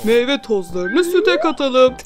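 A woman's voice: high voice, sing-song, slow pace, exaggerated voice. A woman voicing a cheerful animal parent in a dubbed children's cartoon, playfully narrating what happens. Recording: music behind.

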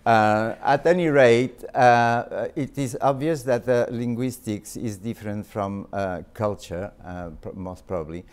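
French-accented man giving a halting lecture. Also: medium pitch, smooth. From Spain. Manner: halting, many fillers and restarts. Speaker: visiting art history professor. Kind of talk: academic lecture. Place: lecture hall.

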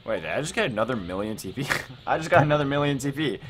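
A man hosting a casual gaming let's play, thinking aloud in an excitable, repetitive way. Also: mid-range voice, fast. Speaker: gaming video host. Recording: music behind the voice.

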